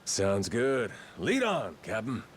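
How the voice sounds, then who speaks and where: An actor playing a gruff, good-natured amnesiac yakuza who talks in rough slang, in an English video game dub. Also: male voice, lowish voice, huge pitch swings, slow, slight background noise, heavily acted. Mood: wry, grateful. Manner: rough colloquial slang with swearing.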